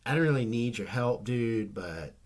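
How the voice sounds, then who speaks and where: A man chatting casually about comic books, his voice a little rough and nasal from a recent flu, rambling with many ums and restarts. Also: mid-range voice, lively intonation, normal speed. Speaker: comic book vlogger. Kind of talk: casual chat.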